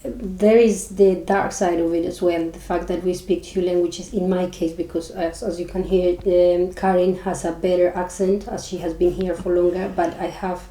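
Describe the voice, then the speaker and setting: A woman with a Spanish accent co-hosting a podcast, talking earnestly and haltingly with many restarts. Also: fairly low pitch, flat delivery, mellow, echoey room, low-quality recording. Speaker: podcast co-host. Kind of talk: casual chat.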